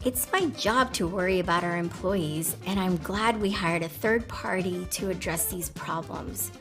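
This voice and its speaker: A woman acting as a concerned staff manager in a mock ad testimonial, speaking in a measured way. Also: fairly low pitch. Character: worried female staff manager. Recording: music bed, voice set back from mic.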